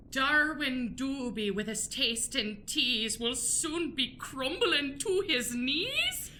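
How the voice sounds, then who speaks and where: A woman acts out a stern, pious busybody in an improvised radio comedy. Her forceful voice swoops up and down as she declaims with wry theatrical relish. Faint noise sits on the recording. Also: mid-range voice, heavily acted. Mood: theatrical reading. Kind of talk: improvised radio play acting.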